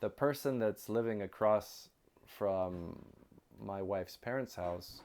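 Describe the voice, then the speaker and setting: A man chatting casually as an interview guest, with an animated storytelling manner. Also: lowish voice, slow pace. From outside Japan. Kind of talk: casual chat.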